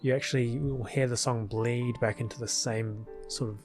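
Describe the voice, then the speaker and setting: A man talks through his editing as a video creator, with a mid-pitched voice. He rambles casually and thinks aloud, informal and a little hesitant, with faint music behind him. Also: fairly even intonation, normal speed. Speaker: video creator explaining his fan film edit.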